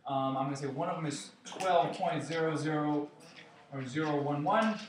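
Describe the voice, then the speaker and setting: A man's voice: fairly high pitch, normal speed, far from the mic. A man teaching a chemistry review lesson to his class, playful and joking with students. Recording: echoey classroom with noise.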